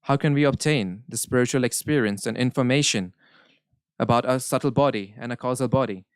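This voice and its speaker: Smooth-voiced man interpreting. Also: mid-range voice, no echo. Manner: measured, earnest, occasional self-corrections. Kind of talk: live interpretation of a spiritual Q&A.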